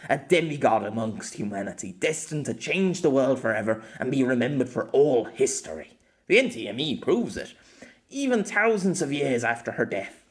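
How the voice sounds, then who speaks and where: A wistful former swordsman as a male narrator plays him in a storybook reading. His pitch swings freely as he reminisces haltingly, with a rueful, self-deprecating manner. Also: close to an everyday voice. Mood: sorrowful awe.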